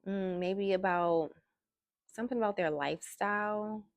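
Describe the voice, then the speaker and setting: Soft-spoken woman with a gravelly voice. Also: medium pitch, no room sound. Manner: soft-spoken, slow and musing. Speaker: tarot reader. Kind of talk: soft-spoken tarot reading. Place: indoors at a table.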